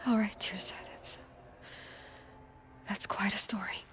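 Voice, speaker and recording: A woman's voice: medium pitch, level tone, a little slow, fairly bright tone, in a whisper, natural voice. A woman playing a guarded, blunt young huntress in a video game, with a dry, direct manner. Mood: subdued, restrained. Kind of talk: video game dialogue acting.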